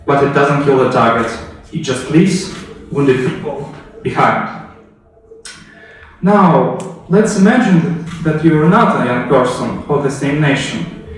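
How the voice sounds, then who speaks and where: A man with a fairly high voice speaks publicly in a storytelling style. He addresses listeners directly, drawing them into the scene with rhetorical questions. The sound is heavily reverberant and picked up some way from the microphone. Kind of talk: storytelling speech with dramatic second-person narration. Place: echoey indoor room, recorded from a distance. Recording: very echoey room, distant microphone.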